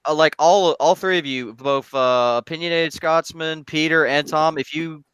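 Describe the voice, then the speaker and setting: A man's voice: a little high, fairly even intonation, normal speed, a bit bright, clear, resonant tone, dry recording. A man from Tennessee with a Southern American accent, boasting and swearing in casual stream chat. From Tennessee, United States. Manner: drawling storyteller, boastful, swearing.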